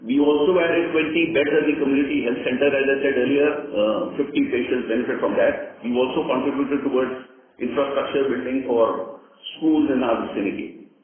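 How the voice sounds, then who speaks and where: A man with an Indian accent presents company results in a formal, measured way with some hesitant pauses. His mid-pitched voice comes through a very echoey, tinny phone line with light noise behind it. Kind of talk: prepared earnings call presentation. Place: conference call.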